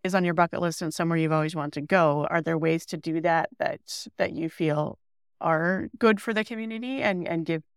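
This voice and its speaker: Woman, podcast co-host, asking questions, careful, low smooth voice, dry sound. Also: a little fast, no room sound. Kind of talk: interview questions.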